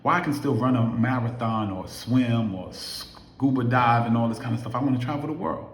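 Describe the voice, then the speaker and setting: A man's voice: mid-range voice, normal speed. A man in his late fifties giving an emphatic motivational talk to camera, asking his viewers many rhetorical questions. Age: 50s. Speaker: affiliate marketer vlogger. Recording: echoey room.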